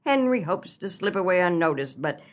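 A woman's voice: lowish voice, animated, normal speed, lightly acted. An actress playing a kindly, fretful old farmer's wife in a comic radio melodrama, earnest and motherly in a theatrical way. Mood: wistful.

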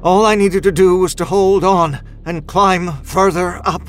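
A man's voice: high voice, steady tone, measured pace, faint music behind. An actor playing a desperate, exhausted man climbing out of an ice crevasse, telling the horror story in first person with intense drama. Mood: fearful, urgent. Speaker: audio fiction narrator. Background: musical score.

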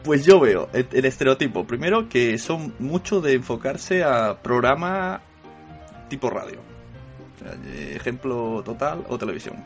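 A man's voice: mid-range voice, lively intonation, measured pace, soft background music. A man hosting a casual podcast roundtable, joking and laughing often. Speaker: podcast host.

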